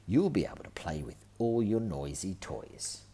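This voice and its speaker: A man's voice: deep voice, big pitch swings, moderate pace, dark tone, husky, pitched above the performer's own voice, exaggerated voice. A man voicing a cheerful little girl piglet from a storybook, coaxing and upbeat. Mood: cheery.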